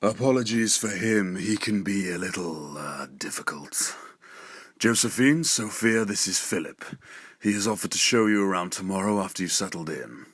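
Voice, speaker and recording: A man plays an authoritative, courteous king with a family to manage. The voice is low and husky, in a dry, close audio drama recording. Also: normal speed, fairly bright tone, dry recording. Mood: courteous, businesslike. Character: Arion, authoritative, courteous king and weary father. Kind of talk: scripted audio drama acting.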